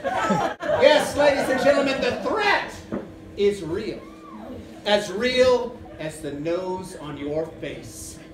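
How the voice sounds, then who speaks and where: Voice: mid-range voice, very animated, slow pace, a crowd in the background. A performer playing an alarmist, paranoid orator, giving an emphatic, mock-serious warning to a theatre audience. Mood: alarmed, emphatic. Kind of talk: theatrical stage address. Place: indoor theatre stage. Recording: distant, noisy, echoey theatre recording. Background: noise and room ambience.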